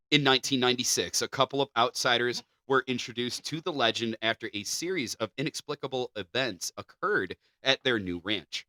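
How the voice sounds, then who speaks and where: A man's voice: medium pitch, bright, forceful tone, no room sound. A man co-hosting a podcast, moving between reading scripted narration and informal chat. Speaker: podcast co-host.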